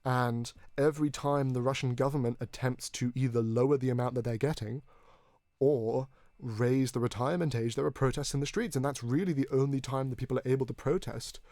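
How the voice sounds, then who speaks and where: Male podcast host, from Hong Kong, mid-pitched, earnest and wordy, stumbles over words, close dry sound. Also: steady tone, a little mellow, dry recording. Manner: earnest, long-winded, halting with repeated words. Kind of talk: conversational political discussion.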